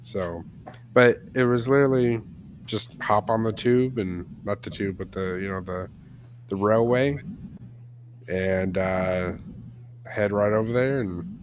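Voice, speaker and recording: Laughing low-voiced American man. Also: a little slow, long pauses, slight background noise. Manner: chatty storyteller, laughs often. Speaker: podcast co-host. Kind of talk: casual chat.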